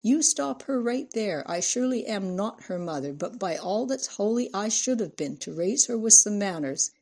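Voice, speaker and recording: A woman's voice: medium pitch, lively intonation, moderate pace, dark tone, pitched above the performer's own voice. A woman voicing a domineering middle-aged mistress of the house, scolding in haughty, shrieking indignation. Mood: indignant.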